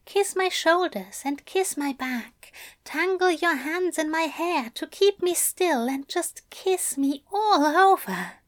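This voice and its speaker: Aziraphale, a soft-voiced coaxing angel a woman voices. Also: very high-pitched, lively intonation, takes it slowly, pitched above the performer's own voice, full character voice. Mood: murmuring, coaxing. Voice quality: soft, murmuring. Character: gentle, sly male angel, adult, tender and coaxing.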